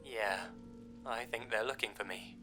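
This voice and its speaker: A scared little girl in a horror tale, acted by a man, speaks in a small, hushed whisper. The words come hesitantly and fearfully, with music playing underneath. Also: lowish voice, unhurried. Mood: terrified, hushed. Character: frightened little girl hiding from intruder. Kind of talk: horror story narration. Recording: music behind the voice.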